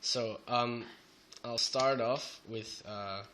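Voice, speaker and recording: A young man with a Belgian Dutch accent who is a bit sick, talking haltingly through a coding tutorial with many fillers. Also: medium pitch, fairly even intonation, bright, forceful tone, slight background noise. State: sick, voice sounds off. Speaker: programming tutor. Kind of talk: screen-recorded coding tutorial. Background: keyboard typing and clicks.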